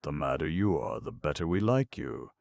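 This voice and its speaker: A man's voice: rumbling low, sing-song, normal speed, creaky, a little mellow, no echo, studio quality, exaggerated voice. A man voicing a cheerful, bossy ragman who chuckles and speaks pompously. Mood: gleeful. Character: cheerful, gleeful, bossy male chief scrapper.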